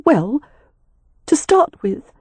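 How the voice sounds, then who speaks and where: A narrator as an earnest, high-voiced young sister. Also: sing-song, very slow, dark tone, no echo, full character voice. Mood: forced pragmatism. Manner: earnest, pleading, emphatic. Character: Poppy, earnest, distressed young married sister. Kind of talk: audiobook character dialogue.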